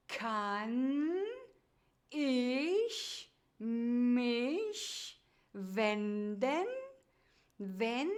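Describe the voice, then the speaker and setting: A woman teaching German to beginners, saying each sentence word by word and then whole, with an English prompt first. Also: on the high side. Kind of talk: slow repetition drill for beginners.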